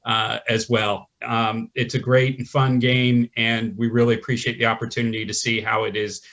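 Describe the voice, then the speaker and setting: A man hosting a webinar, giving upbeat, folksy closing thanks with many halting fillers over a video call. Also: mid-range voice, level tone, fairly bright tone, dull audio. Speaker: event host.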